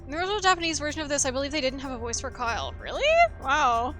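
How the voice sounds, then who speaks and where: A woman livestreaming a game, reading its text aloud and commenting in an expressive, reactive way. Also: high-pitched, very fast. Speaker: game streamer. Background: game music.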